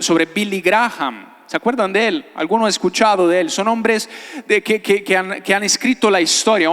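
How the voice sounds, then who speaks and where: His voice sits high for a man and rings through a long hall reverb. He is a pastor, delivering a sermon in a conversational way. He repeats key phrases and keeps putting short questions to his listeners. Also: a bit bright. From Italy. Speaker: pastor, guest preacher. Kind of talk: sermon to a live congregation. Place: large church hall before a congregation. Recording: reverberant hall, amplified preaching.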